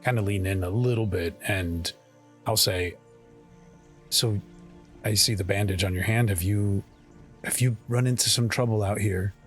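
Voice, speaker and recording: A man playing a tabletop roleplaying game, describing his actions and speaking in character in a halting, earnest way. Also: lowish voice, faint music behind.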